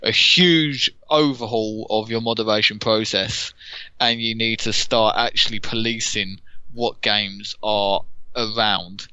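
A man's voice: lowish voice, steady tone, slow, pushed and bright, clear, resonant tone, dry recording. A man co-hosting a casual podcast, earnest and repeating himself as he thinks. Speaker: podcast co-host. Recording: dull, dry close mic.

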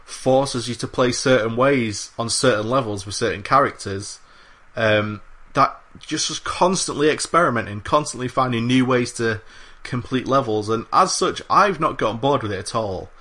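A man's voice: mid-range voice, normal speed, bright, forceful tone. A man co-hosting a casual games podcast, talking with enthusiasm, with lots of fillers and the odd swear word. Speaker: podcast co-host. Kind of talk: casual chat.

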